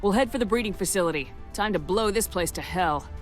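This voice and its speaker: A woman's voice: medium pitch, lively intonation, brisk, faint music behind, lightly acted. A woman playing a resolute military commander in a video game, with a firm, terse, challenging delivery. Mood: decisive. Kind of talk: video game hero voice acting.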